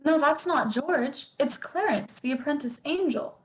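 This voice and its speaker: A woman's voice: high-pitched, expressive, measured pace. A young woman playing a solemn narrator who sets the scenes in a radio drama. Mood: storytelling. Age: teens or young adult.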